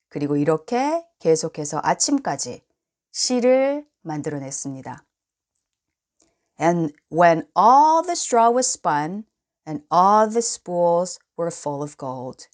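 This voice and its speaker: A woman reading a children's storybook aloud in an expressive, deliberate way. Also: on the low side. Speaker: storybook reader.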